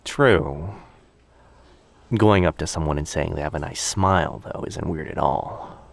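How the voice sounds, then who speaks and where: A man playing a shy, sweetly awkward young stranger in a boyfriend ASMR roleplay, speaking softly and hesitantly in an intimate, rambling way. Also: rumbling low, unhurried, gravelly, no echo, heavily acted. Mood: shy, amused. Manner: hesitant, rambling, soft-spoken with trailing phrases. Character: very shy young male stranger, sweetly awkward, overthinking coffee-shop crush.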